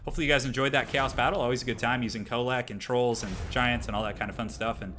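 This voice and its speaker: A man with a voice hoarse from a cold, casually commentating a replay of a strategy game for viewers. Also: mid-range voice, fairly even intonation, fast, sharp tone, a little hiss or hum. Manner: casual, chatty, addresses viewers as guys. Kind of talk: gameplay commentary.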